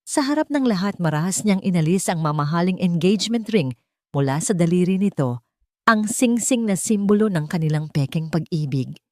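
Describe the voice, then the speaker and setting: A woman narrating a scripted romance story in an emotive, deliberate, dramatic audiobook style. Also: on the low side, lively intonation, no echo, studio quality.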